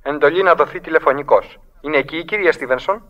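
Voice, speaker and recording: A man's voice: fairly high pitch, highs cut off, lightly acted. An actor playing a businesslike male telegraph clerk in a radio drama, formal and mechanical in delivery.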